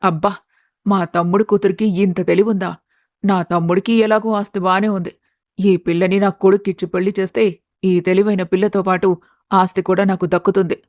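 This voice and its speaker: A woman's voice: mid-range voice, flat delivery, brisk, darkish tone, no room sound, clean studio sound, close to an everyday voice. Woman as a scheming greedy middle-aged aunt. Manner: insistent, calculating.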